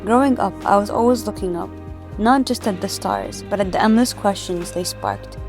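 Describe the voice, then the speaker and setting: A woman's voice: medium pitch, moderate pace, over music. A woman hosting a science podcast, eager and upbeat, calling herself a nerd and asking a guest questions. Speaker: podcast host. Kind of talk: enthusiastic scripted intro, then interview questions.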